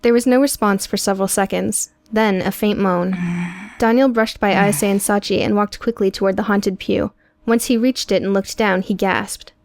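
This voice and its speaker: A woman reading scripted audiobook prose in a measured, dramatic storytelling manner. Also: medium pitch, fairly even intonation, a little mellow, no room sound. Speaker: audiobook narrator.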